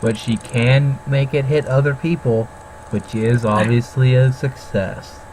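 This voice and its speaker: A woman's voice: a bass voice, fairly even intonation, measured pace, dark tone, poor audio. Young woman co-host from Ohio; casual banter, faint noise on an amateur recording. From Ohio, United States. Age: young adult. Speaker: co-host commenting on a book reading.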